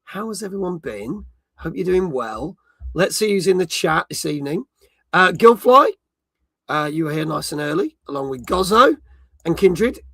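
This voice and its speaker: A middle-aged man with a croaky voice, hosting a casual live stream and chatting with viewers in cheeky banter. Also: high-pitched, big pitch swings, normal speed, a bit bright, no room sound. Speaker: livestream host. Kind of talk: casual live-stream chat, reading chat comments.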